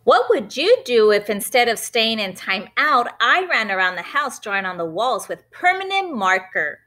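A woman's voice: fairly high pitch, sing-song, moderate pace, pushed and bright, voice pushed up. A woman voicing a naughty, teasing little boy in a read-aloud storybook.

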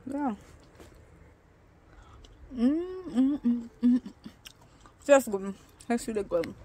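A woman's voice: on the high side, lively intonation, extremely slow, mellow, breathy edge. A young woman chatting casually to her viewers in a cooking vlog, filming herself on a phone outdoors. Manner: chatty, talks straight to viewers while eating. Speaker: vlogger. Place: outdoors under a tin-roofed shed in a yard. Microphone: phone held at arm's length.

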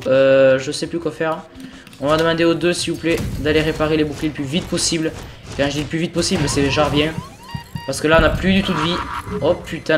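A young man with a cold, casually commentating his own gameplay, exasperated and swearing as things go wrong. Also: fairly high pitch. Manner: swearing, exasperated, talking to himself while playing. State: sick with a cold. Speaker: gaming video host. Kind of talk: casual let's-play commentary.

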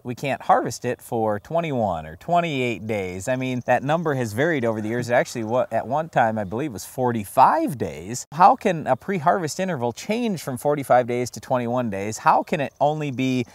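A man's voice: mid-range voice, very animated, normal speed, hardly any pauses, no echo. Young male farm show co-host, practical and advising, dry recording. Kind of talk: scripted outdoor farm show chat. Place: outdoors in a field.

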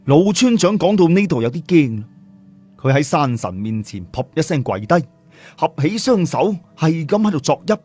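A man's voice: a little high, sing-song, moderate pace, a little mellow, husky, soft background music. A man narrating a story in a dramatic storytelling style. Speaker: audiobook narrator.

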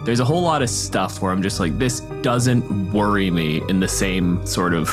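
Low-voiced man discussing tabletop games. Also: normal speed, music in the background. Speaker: podcast panelist. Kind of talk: casual panel discussion about tabletop games.

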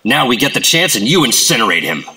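An actor playing a gruff, weary male government operative in a radio drama, confiding and grave. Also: mid-range voice, very animated, fast, exaggerated voice, rough recording. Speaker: secret agent Operative-132. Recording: radio drama with loud music score.

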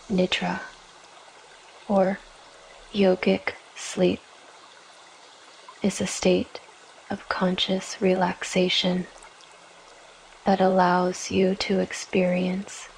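A woman guiding a yoga nidra meditation in a gentle, soothing, measured way, leaving long pauses between short phrases. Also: lowish voice, monotone, creaky, slight background noise, rough recording. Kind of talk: guided meditation, scripted narration.

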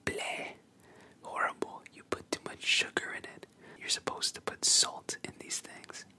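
A storyteller voicing a spoiled, bullying young captain's son in a breathy whisper, as in a manga retelling. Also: normal speed, natural voice. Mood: disgusted. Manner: bullying, boastful.

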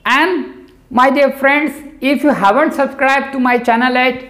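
A man's voice: very high-pitched, steady tone, measured pace, bright, forceful tone. A middle-aged man teaching a grammar lesson at a whiteboard, patiently checking in with "right?" as he explains. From India. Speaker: English teacher. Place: room in front of a whiteboard. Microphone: lapel. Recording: echoey room.

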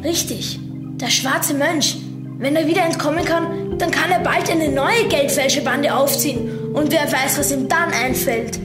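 A woman's voice: high-pitched, a little slow, over loud music. A woman playing an earnest child detective in an audio drama, explaining things in a matter-of-fact way. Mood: concerned.

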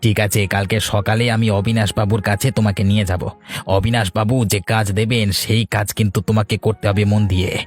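A man's voice: on the low side, flat delivery, normal speed, faint music behind, lightly acted. A man voicing a sly, coaxing village go-between in a cartoon tale. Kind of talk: Bengali fairy-tale cartoon voice acting.

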